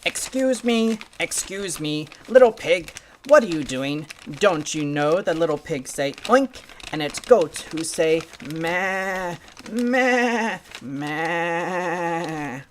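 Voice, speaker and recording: A woman's voice: lowish voice, animated, takes it slowly, slight background noise, pitched above the performer's own voice. A woman voicing a bossy, scolding old duck in a children's puppet show. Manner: scolding, emphatic.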